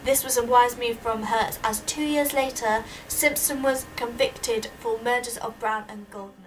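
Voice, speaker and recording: A woman's voice: on the high side, steady tone, measured pace, faint music behind. A young woman giving a prepared student presentation in an earnest, explanatory, emphatic way. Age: young adult. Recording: distant microphone with audible background noise.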